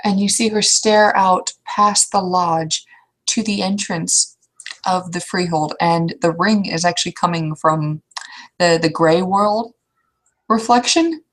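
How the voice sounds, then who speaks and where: A woman's voice: fairly low pitch, slow pace, poor audio. A woman narrating an improvised tabletop roleplay as game master.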